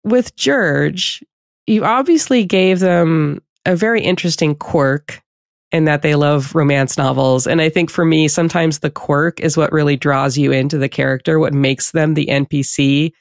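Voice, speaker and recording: A woman's voice: low-pitched, moderate pace, no room sound, studio quality. A woman hosting a podcast interview, speaking thoughtfully and conversationally.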